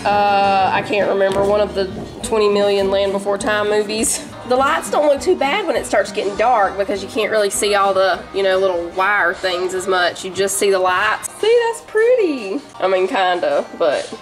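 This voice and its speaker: A woman, chatting homey and relaxed to viewers while she cooks in her home kitchen. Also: medium pitch, moderate pace, few pauses, music in the background, low-quality recording. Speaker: home cooking vlogger and mother. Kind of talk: casual cook-with-me narration.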